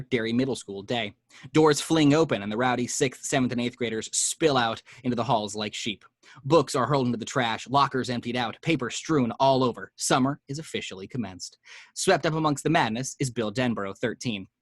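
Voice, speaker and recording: A man's voice: medium pitch, no echo, clean studio sound. Man reading screenplay action lines. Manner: vivid, measured description. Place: at home.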